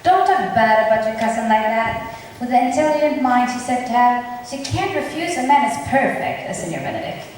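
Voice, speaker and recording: A woman in a student drama club playing a loyal, frank young waiting gentlewoman on stage. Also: on the high side, expressive, a little fast, natural voice. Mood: frank, agreeable. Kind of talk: amateur stage acting. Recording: very echoey hall, distant mic, faint hiss.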